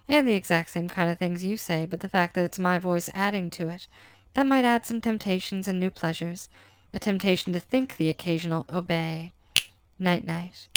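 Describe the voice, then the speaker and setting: A woman speaking as a hypnotist in an erotic hypnosis recording, slow, soft and commanding, repeating words in a lulling way. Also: on the low side, clean tone, no echo, poor audio.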